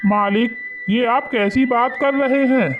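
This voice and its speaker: A man playing an earnest, respectful young poor farmer in an animated moral story. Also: very high-pitched, a little slow. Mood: pleading, respectful. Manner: respectful, pleading. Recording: muffled lo-fi audio under loud music.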